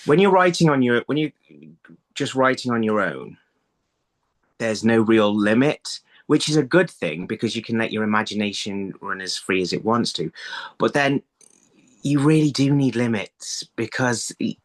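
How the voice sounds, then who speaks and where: A man's voice: mid-range voice, animated, normal speed. A middle-aged man talking casually as an interview guest on a video call, rephrasing himself mid-thought. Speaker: interview guest, audio drama writer. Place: small room at home, on a video call. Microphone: phone on a video call.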